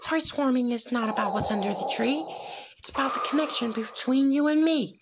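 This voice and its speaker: A storyteller voicing a kind, earnest little filly pony girl, pleading gently in rhyme. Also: high-pitched, fairly quick, very noisy, voice pushed up. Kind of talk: rhyming storybook fan fiction reading.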